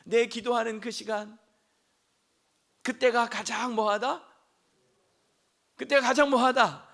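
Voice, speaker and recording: A man preaching a sermon as senior pastor, hoarse from a throat cold, asking the congregation questions and rising to shouts. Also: very high voice, fairly even intonation, slow. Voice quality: gravelly.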